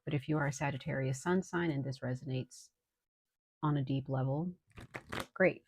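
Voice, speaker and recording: Low-voiced woman with a cold. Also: a little hiss or hum. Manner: unhurried and reassuring, speaks directly to viewers with frequent ums. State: under the weather with a cold, slightly hoarse. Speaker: tarot reader. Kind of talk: casual tarot reading to viewers.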